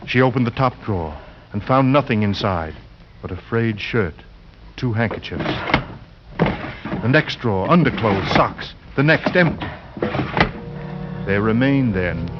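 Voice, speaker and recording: A man narrating a suspense tale as a radio actor, grave and deliberate in a theatrical 1940s style. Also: on the low side, lively intonation. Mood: suspenseful. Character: grave, ominous male storyteller of a horror tale. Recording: muffled 1940s radio recording with music.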